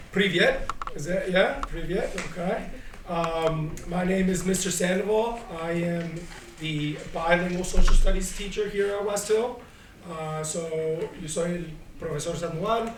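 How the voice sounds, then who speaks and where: Echoey noisy hall, high-pitched man, hesitant, bilingual teacher, informal introduction to students. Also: measured pace. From United States. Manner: hesitant, switches between languages. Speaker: high school social studies teacher. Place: school assembly stage. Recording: echoey hall, distant mic, clear noise.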